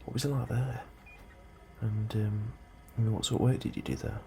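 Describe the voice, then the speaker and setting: Man, very low pitch, creaky, soft near-whisper, hesitant polite delivery, ASMR role-play, clear background ambience. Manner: unhurried, hesitant, politely understated. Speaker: ASMR role-play performer as colony immigration officer. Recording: steady ambient noise behind the voice. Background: computer and ambience sounds.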